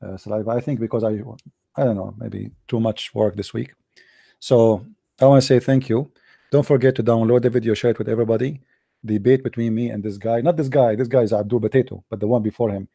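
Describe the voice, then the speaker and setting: Man, mellow dark tone, tired dry voice, combative, repeats himself. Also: fairly low pitch, steady tone, moderate pace, creaky, long pauses, slightly rough, no echo. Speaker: debate show host. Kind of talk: heated call-in debate.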